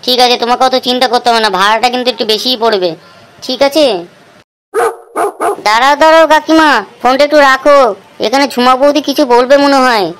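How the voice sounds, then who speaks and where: A woman's voice: high voice, steady tone, fast, long pauses, a little hiss or hum, close to an everyday voice, low-quality recording. A woman voicing a cheeky young male village prankster in a comedy cartoon, with slangy, teasing banter. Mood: mock innocent.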